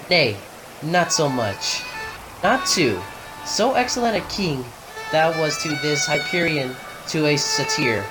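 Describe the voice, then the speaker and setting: Actor doing gloomy young prince in mourning, stagey shakespeare drama, mid pitch voice, loud-ish music behind. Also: poor audio. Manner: declamatory, exclaiming, broken phrases. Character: gloomy grieving young prince, bitter and weary. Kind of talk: theatrical Shakespearean soliloquy and dialogue. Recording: music playing clearly behind the voice.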